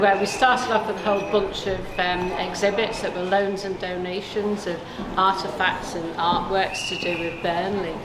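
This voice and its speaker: A woman artist informally explaining her project in an interview, chatty, hesitant and rambling. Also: medium pitch. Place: indoor gallery unit in a shopping centre. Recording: echoey room with clear background noise.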